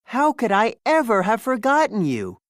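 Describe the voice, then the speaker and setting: Narrator as an earnest high-voiced storybook girl. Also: wildly swooping pitch, normal speed, dry recording, clean studio sound. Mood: puzzled, surprised.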